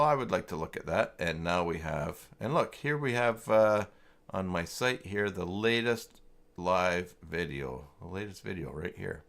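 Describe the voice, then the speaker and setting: A man hosting a casual, unscripted livestream tutorial, talking haltingly as he thinks aloud through each step. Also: on the low side, creaky. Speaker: livestream host and web tutorial presenter.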